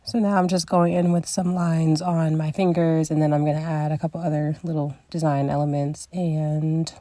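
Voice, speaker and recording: A young woman with a raspy voice from a cold, narrating her vlog in an easygoing, meandering way and poking fun at her own mistakes. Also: low voice, flat delivery, normal speed, warm tone. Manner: easygoing, meandering and self-deprecating. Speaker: vlogger.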